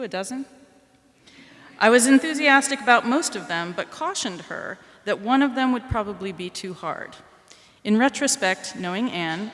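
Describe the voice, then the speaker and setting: A woman presenting awards at a ceremony, reading a mentor's statement aloud in a measured, formal way. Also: medium pitch, a bit bright. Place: library ceremony hall. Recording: long hall reverb.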